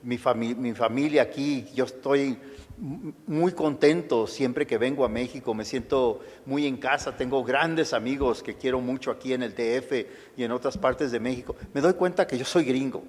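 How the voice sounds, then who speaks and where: A man's voice: medium pitch, normal speed. Older Chicano man lecturing in a hall. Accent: Chicano Spanish. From United States. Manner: thoughtful, with frequent restarts and fillers. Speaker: guest lecturer, historian. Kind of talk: lecture answering audience questions. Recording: lecture hall with long reverb.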